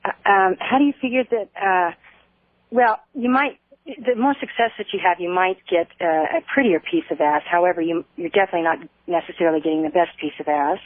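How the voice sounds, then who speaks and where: A woman calling a radio show by phone, halting and hesitant yet argumentative. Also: on the low side, no room sound. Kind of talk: conversational phone-in debate. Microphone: telephone. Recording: narrow tinny phone line on radio.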